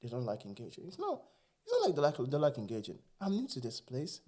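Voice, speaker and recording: A man's voice: mid-range voice, wildly swooping pitch, fast, dark tone. A man with a Nigerian accent, whose first language is Igbo, talking earnestly and with animation as a guest in a casual podcast chat.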